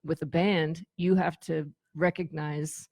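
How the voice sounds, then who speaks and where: Low-voiced woman chatting in a dry studio. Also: takes it slowly, no echo. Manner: animated storytelling, quotes imagined dialogue. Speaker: radio show guest, former tour manager. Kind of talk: casual conversational interview.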